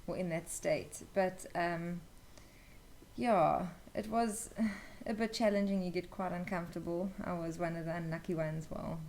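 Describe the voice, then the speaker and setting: A woman's voice: lowish voice, fairly even intonation, unhurried, creaky, a little mellow, a little hiss or hum. A young woman telling a personal story as an interview guest, casually and candidly, with some hesitation. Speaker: interview guest, new mother.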